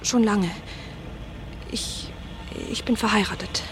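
An actress playing a nervous married woman reunited with an old friend, speaking in a hurried, anxious way. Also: mid-range voice, fairly even intonation, background noise, lightly acted. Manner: hurried, anxious, breathy at times. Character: Eva, a nervous, married woman from his past. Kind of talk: radio play acting.

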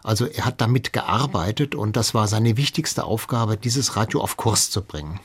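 A man's voice: lowish voice, normal speed, husky. A man speaking as an expert guest, explaining history in an interview.

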